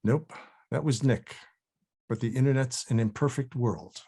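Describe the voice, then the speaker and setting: A man's voice: medium pitch, unhurried, dark tone, husky. An actor at a table read playing a wry, wary older male actor with a dry, hesitant delivery. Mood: polite, dry.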